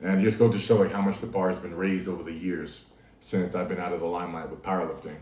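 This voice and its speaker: A man's voice: low-pitched, steady tone, fast, a little mellow. A man hosting a casual livestream, talking easily to his chat and laughing often. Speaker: livestream host. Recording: echoey room, mic at a distance.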